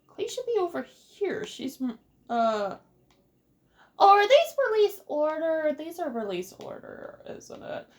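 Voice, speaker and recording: A woman's voice: sits high, sing-song, unhurried. A woman doing casual Let's Play commentary as host, playful and rambling with sing-song exclamations. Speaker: gaming video host.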